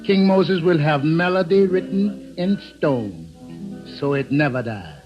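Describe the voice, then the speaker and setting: An easygoing singer in an old radio play. His voice is fairly high and very melodic, with clipped phrasing. Soft guitar plays behind the muffled recording. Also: lively intonation, slow. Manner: lilting, clipped phrasing, dropped articles. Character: easygoing male island singer and loyal friend. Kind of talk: 1950s radio drama acting. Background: plucked guitar music.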